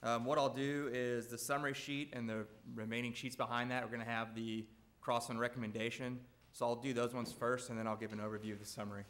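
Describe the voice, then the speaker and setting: A man addresses a school board meeting, walking through construction bid recommendations. His voice is loud and his pitch stays very flat and level. He speaks steadily with plenty of "uh"s between figures. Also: fast talker. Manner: loud, steady, even delivery with frequent "uh". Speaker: construction company representative.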